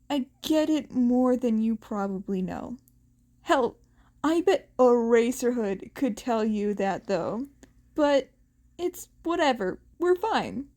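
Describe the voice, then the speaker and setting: A woman's voice: high-pitched, darkish tone. Woman as an easygoing, raised-voice male hero. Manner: breezy, informal.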